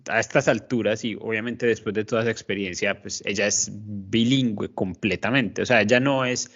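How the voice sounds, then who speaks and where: A man's voice: fairly low pitch, fairly even intonation, normal speed, a bit bright. A man, a podcast co-host, chatting casually, joking and cutting in over the others. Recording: slightly muffled podcast audio.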